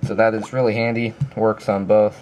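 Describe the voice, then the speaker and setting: A man's voice: on the low side, flat delivery, normal speed, a little mellow, slight background noise. A man giving a casual, informal review walkthrough in a plain-spoken explaining manner. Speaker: app reviewer.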